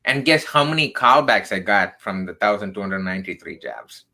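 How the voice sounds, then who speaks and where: A man's voice: lowish voice, animated, moderate pace, bright, forceful tone. A man from southern India in his thirties, a tech career coach telling his story in an earnest, emphatic way, tagging points with "right?". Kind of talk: radio interview storytelling.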